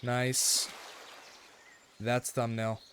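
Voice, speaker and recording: Slightly raspy man chatting over gameplay. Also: medium pitch, little pitch movement, very slow, fairly bright tone, a little hiss or hum. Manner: earnest, often checking in with "right?". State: throat a bit rough. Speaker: gaming video host. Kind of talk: casual rambling gameplay commentary with life advice.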